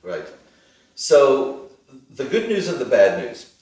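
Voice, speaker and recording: A middle-aged man, born in Israel with Hebrew as his first language, answering interview questions deliberately with frequent ums and uhs. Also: mid-range voice, big pitch swings, darkish tone. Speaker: plastic surgeon, interview guest. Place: studio. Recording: echoey studio, mic far off.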